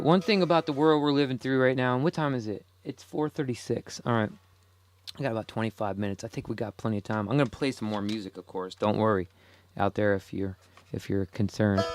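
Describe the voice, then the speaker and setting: Rambling, chuckling man in his forties. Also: lowish voice. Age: 40s. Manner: rambling, self-amused, chuckles often. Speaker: podcast host. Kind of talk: casual solo monologue.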